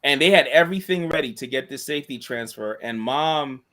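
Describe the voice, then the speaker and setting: Man, monotone voice, casual rambling storyteller, many restarts. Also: medium pitch, fairly quick. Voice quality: monotone voice, self-described. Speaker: co-host and school staff member.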